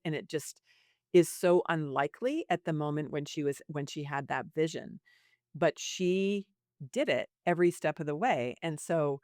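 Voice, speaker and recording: A woman in her late fifties with a clear, smooth voice in a dry, close recording. She talks as a podcast guest and business coach, informal and emphatic, moving easily between advice and storytelling. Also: deep voice, no echo. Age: late 50s. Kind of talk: conversational interview, storytelling and advice.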